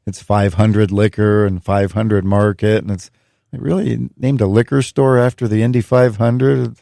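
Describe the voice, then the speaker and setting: Laconic male comic, creaky low-ish voice, deadpan casual banter, dry studio sound. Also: normal speed, dry recording. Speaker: comedian guest.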